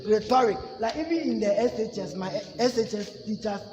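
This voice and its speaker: A man's voice: high-pitched, lively intonation, brisk, lo-fi sound, music in the background. A man with a Ghanaian accent teaching a public speaking class in an emphatic way, often asking "you see?". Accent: Ghanaian English. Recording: echoey room with long reverb.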